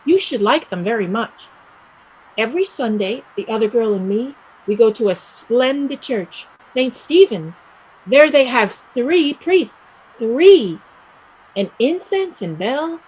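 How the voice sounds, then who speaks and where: A woman playing a restless young Irish village woman set on emigrating, speaking emphatically and deliberately in a stage play reading. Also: fairly high pitch, animated, a little mellow, slightly rough, exaggerated voice, poor audio. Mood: earnest, hopeful.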